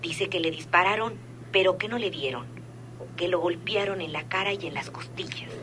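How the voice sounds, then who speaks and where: An actress playing a discreet, obedient gangsters' accomplice in a Mexican radio serial, guarded and matter-of-fact, on an old recording. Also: female voice, lowish voice, normal speed, fairly bright tone, a little hiss or hum, close to an everyday voice. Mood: obedient, reporting.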